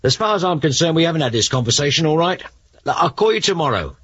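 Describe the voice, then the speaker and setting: An actor playing a gruff, weary, sarcastic senior police inspector in a radio drama. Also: male voice, on the high side, big pitch swings, fast talker, fairly bright tone, lo-fi sound, full character voice. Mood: firm.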